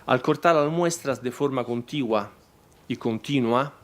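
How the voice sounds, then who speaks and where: A man whose first language is not Spanish, presenting a technical talk at a conference in a halting way, full of fillers and restarts. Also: mid-range voice.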